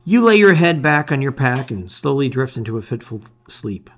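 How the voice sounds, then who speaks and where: A man reading a gamebook aloud in expressive, emphatic storytelling, with casual asides. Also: mid-range voice, moderate pace, dull audio.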